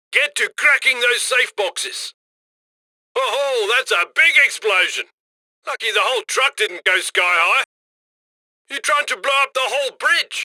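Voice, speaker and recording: A man playing a brash, upbeat heist crew handler in a video game, giving brisk, cocky commands. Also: sits high, sharp tone, dry recording, heavily acted. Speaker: mission handler giving instructions over comms.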